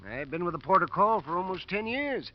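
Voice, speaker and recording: An American actor playing a fussy, self-assured and obliging office cashier in a 1950s radio drama, chatty and politely self-important. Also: male voice, high-pitched, big pitch swings, brisk. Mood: eager, obliging. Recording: muffled old radio recording, faint hiss.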